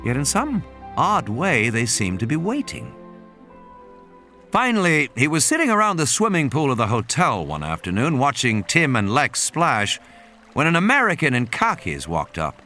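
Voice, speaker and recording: A man's voice: mid-range voice, huge pitch swings, normal speed, bright, forceful tone. A man reading a dramatic scripted story as an audiobook narrator, with music and sound effects behind him. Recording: music under the voice.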